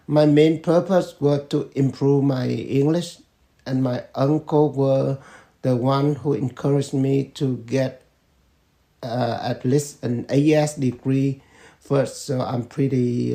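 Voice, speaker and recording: A man, answering family interview questions in slow, measured phrases with pauses. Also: medium pitch, steady tone, gravelly, dark tone. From Vietnam. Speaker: interviewee, father.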